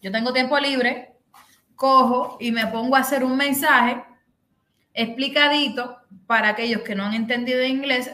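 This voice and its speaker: A woman's voice: on the high side, pushed and bright, roomy sound. A middle-aged Cuban woman with a Cuban accent, telling a story on a video call and acting out the people in it. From Cuba. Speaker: host. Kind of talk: casual chat on a video call. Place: bedroom, indoors.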